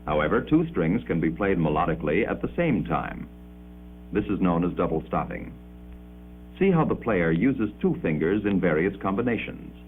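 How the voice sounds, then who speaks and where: A man delivering formal, measured 1950s educational film narration with precise enunciation, on an old muffled soundtrack. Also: sits low, big pitch swings, slight background noise.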